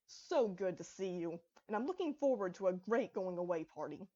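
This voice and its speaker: A woman voicing a mischievous, theatrical male chaos creature with a drawn-out, teasing delivery in a story reading. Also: fairly low pitch, lively intonation, warm tone, highs cut off, close to an everyday voice. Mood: jovial. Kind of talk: fan fiction story reading.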